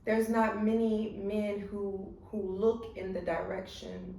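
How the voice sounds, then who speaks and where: A woman's voice: mid-range voice, little pitch movement, slow, gravelly, mellow. A woman with a Southern American accent hosting a casual conversation, talking emphatically and often checking for agreement. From United States. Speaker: podcast host. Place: room in Dubai. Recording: echoey room, distant mic, faint noise.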